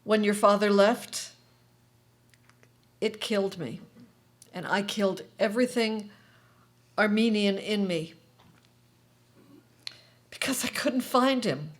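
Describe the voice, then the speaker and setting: A woman reading as a slow, regretful mother. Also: medium pitch, lightly acted. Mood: reflective. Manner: measured, slow, reflective delivery. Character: middle-aged Armenian-American mother, guarded, regretful. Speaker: actor in a staged reading. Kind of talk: staged play reading.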